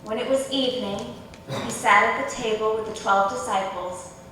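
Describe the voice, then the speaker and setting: A woman's voice: moderate pace, fairly bright tone. Woman narrating scripture in an echoing church. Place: church during a service. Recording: echoey church hall, distant mic, rumbly noise.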